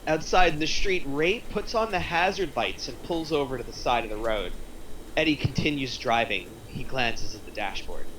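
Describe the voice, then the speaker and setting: A man narrates stage action from a script at a table read. His voice is medium-pitched, a little away from the mic, with faint background noise. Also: lively intonation, moderate pace, distant mic. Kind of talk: reading screenplay action lines aloud.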